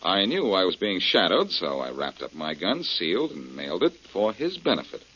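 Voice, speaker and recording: A man's voice: sits low, wildly swooping pitch, moderate pace, pushed and bright, heavily acted. A man playing a cool, clever amateur detective in an old radio mystery, wry and self-assured. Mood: wry, confident. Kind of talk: 1940s radio crime drama acting. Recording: muffled, noisy old-time radio recording.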